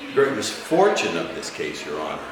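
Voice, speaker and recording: A man playing a veteran defense lawyer in a theatrical courtroom monologue, pleading with oratorical, impassioned phrasing and dramatic pauses. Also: extremely low pitch, wildly swooping pitch, over a noisy crowd, full character voice. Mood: measured, weary. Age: older. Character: veteran male defense lawyer pleading passionately for young clients' lives. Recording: very echoey room, faint noise.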